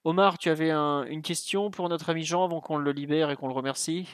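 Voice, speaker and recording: A man hosting a casual live podcast, relaxed and chatty with plenty of fillers. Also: a little high, a little fast, clean tone, no echo, studio quality. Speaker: podcast host.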